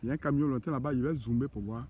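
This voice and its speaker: A man's voice: medium pitch, racing, darkish tone, a little hiss or hum. A man working as a real estate agent, giving a casual outdoor tour of land plots, with lots of hesitations and restarts. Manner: hesitant, full of fillers and restarts. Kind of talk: casual walking video tour.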